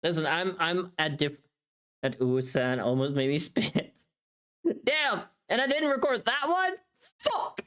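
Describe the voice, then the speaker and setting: A livestreamer talks casually while working, with extremely animated, swinging pitch in a middle register. The delivery is scattered and exclamatory, drifting easily from one thought to the next. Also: unhurried, clear, resonant tone.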